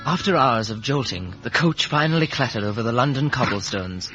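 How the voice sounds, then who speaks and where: A man's voice: medium pitch, lively intonation, fairly quick, fairly bright tone, faint music behind, natural voice. An actor playing an earnest, polite young man in a 1950 radio drama, both acting and narrating. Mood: reflective narration. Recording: muffled, hissy vintage radio recording.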